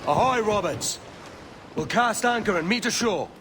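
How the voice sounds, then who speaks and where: An actor playing a brash, greedy, hot-blooded young pirate captain in a video game, blunt and impatient. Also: male voice, sits high, lively intonation, normal speed, noisy background. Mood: commanding. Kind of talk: video game cutscene acting.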